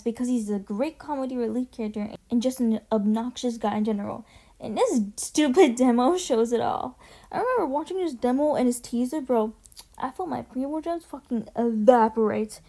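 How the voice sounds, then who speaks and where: Higher-pitched young woman, gushing casual commentary. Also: warm tone. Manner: gushing, slangy, swears casually. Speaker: video essay host. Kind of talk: casual ranking commentary voice-over. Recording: music behind the voice. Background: music.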